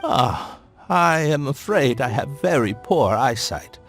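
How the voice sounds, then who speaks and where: A man's voice: medium pitch, lively intonation, slow, a bit bright, soft background music. An actor playing an apologetic, short-sighted townsman in a video game. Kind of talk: video game cutscene acting.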